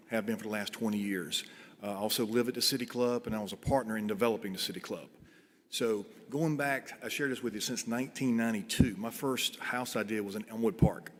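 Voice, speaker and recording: A middle-aged man giving informal testimony at a public hearing as a property developer. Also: lowish voice. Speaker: real estate developer giving public comment. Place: large meeting room. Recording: echoey hall, some background noise.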